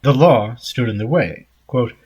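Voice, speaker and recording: A man reading a written essay aloud in a measured, scripted narration style. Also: mid-range voice, a little mellow, slightly rough. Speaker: essay reader.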